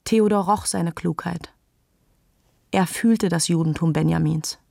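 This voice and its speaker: A woman narrating literary prose for a radio play, deliberate and emphatic. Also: lowish voice, vocal fry, dark tone, no echo, clean studio sound.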